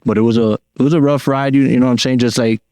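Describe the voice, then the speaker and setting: A Cuban-born man, a guest talking casually on a podcast in a slangy, relaxed way full of fillers. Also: mid-range voice, fast, no echo, studio quality. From Cuba. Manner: laid-back slangy talk with many fillers.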